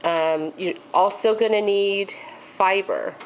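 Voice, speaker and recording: A woman's voice: medium pitch, slow pace, slight background noise, rough recording. A woman with a congested, stuffy voice hosting a casual crafting tutorial, chatty, with frequent ums and okays. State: congested from allergies.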